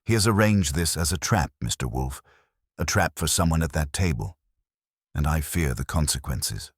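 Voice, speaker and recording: A man's voice: very deep voice, animated, moderate pace, slightly rough, dry recording, studio quality, heavily acted. A man voicing an anxious, formal and composed wealthy woman in her fifties, with brittle hesitancy, in a detective audiobook. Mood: anxious, urgent.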